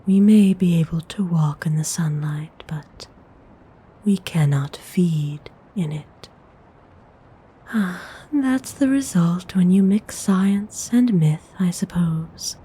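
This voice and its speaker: A woman acts out a centuries-old female vampire noble in an ASMR roleplay. Her voice is a soft, husky whisper, lowish and mellow. She speaks with formal, intimate courtesy and a possessive, quietly commanding air. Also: heavily acted. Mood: musing. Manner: slow, measured, formal, intimate.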